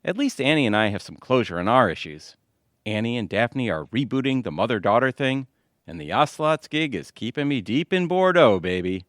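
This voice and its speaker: A man's voice: medium pitch, sing-song, a little fast, dry recording, studio quality, close to an everyday voice. A man playing an easygoing suburban husband in an audio comedy, breezy and pleased with himself.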